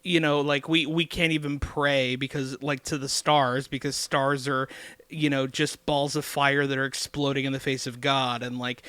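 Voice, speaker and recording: Chatty man with a bright, crisp voice. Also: medium pitch, flat delivery, normal speed, no room sound. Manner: enthusiastic, rambling with many fillers. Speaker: podcast guest, singer-songwriter. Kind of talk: casual music chat.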